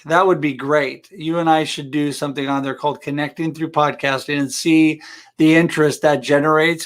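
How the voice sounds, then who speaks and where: A man's voice: fairly high pitch, creaky, fairly bright tone. A man hosting a live show, talking in a friendly, upbeat way with his guest and viewers. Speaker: host. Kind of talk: casual live-stream chat.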